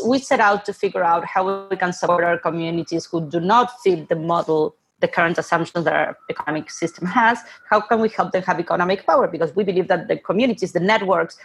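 A woman's voice: on the low side, creaky, breathy edge. A woman from Argentina speaking as a podcast guest, explaining things in a casual, conversational way. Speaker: podcast guest, open source funding co-founder.

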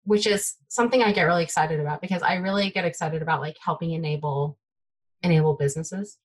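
Excitable woman rambling about tech. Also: sits low, fast talker. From United States (Bay Area). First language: Taiwanese. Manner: excitable, filler-heavy, trailing off. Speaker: guest developer. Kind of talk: casual tech interview chat.

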